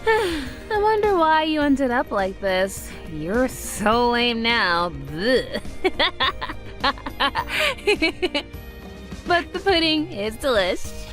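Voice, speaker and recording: A woman's voice: sits high, big pitch swings, drawn-out words, a bit bright, heavily acted. A woman voicing a brash teenage wannabe rebel girl in an anime-style romcom, secretly sweet, her bravado slipping into bashful stammers. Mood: mocking bravado. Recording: music under the voice. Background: background music.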